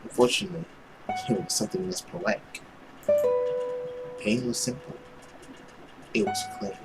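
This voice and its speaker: Man narrating dramatically over loud music. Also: lowish voice, steady tone, normal speed, poor audio. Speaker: video host reading a visual novel.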